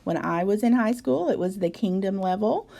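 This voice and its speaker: A woman from the South, a biology instructor giving a recorded video lecture in a voice hoarse from a cold, measured and explanatory with frequent ums. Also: mid-range voice, expressive, warm tone. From American South.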